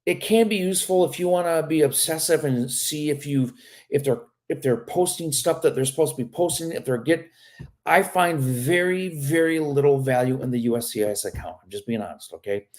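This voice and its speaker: A man's voice: on the high side, fairly quick, a little mellow. Frank man answering live viewer questions. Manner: casual, frank, keeps tagging on "okay?"; reads viewer questions aloud and answers them. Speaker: immigration lawyer. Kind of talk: live stream Q&A.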